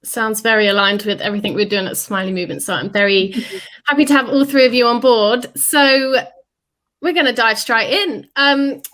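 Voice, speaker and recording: A woman's voice: a little high, moderate pace, fairly bright tone. A woman hosting a livestreamed panel discussion, upbeat and chatty with frequent ums. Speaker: host and chief editor.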